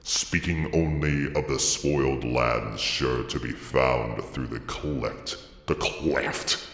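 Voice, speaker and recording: Man putting on a very deep pompous demon narrator, echoing. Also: slow, fairly bright tone, faint music behind, full character voice. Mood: pompous, halting. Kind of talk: dramatic lore reading broken by asides. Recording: echoey hall reverb.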